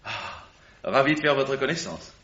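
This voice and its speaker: A man's voice: mid-range voice, animated, normal speed, fairly bright tone, natural voice. A man playing a boisterous fur trader. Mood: jovial. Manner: boisterous, chummy, laughs often. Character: jovial bearded male fur trader, old college friend. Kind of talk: 1950s French radio drama acting. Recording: dull lo-fi old radio recording.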